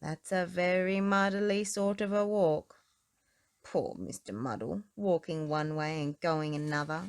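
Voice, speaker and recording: A woman narrating a children's storybook aloud in an expressive style. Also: lowish voice, measured pace, clear, resonant tone.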